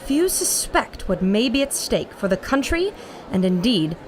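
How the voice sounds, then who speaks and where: A woman playing a brisk, earnest radio reporter and narrator with an American accent, in old radio serial style. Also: fairly high pitch, very animated, a little hiss or hum. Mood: dramatic narration. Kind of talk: 1940s radio serial acting.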